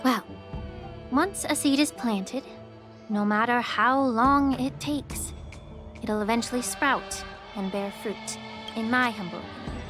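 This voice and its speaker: A woman voicing a calm, gracious young woman alchemist in a game, speaking gently and reflectively. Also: a little high, measured pace, pauses often. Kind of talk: game voice acting. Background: game music.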